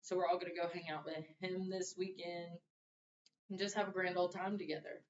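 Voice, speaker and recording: A young woman gives a relaxed, conversational introduction speech to her class. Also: a little fast. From Louisiana and Arkansas. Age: young adult. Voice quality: deep voice. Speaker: student. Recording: roomy, distant, dull mic with faint hiss.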